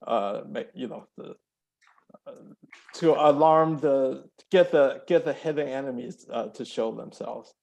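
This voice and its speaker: A man in a casual group discussion who talks quickly and modestly, with some uh fillers. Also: mid-range voice, gravelly, darkish tone. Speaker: discussion participant.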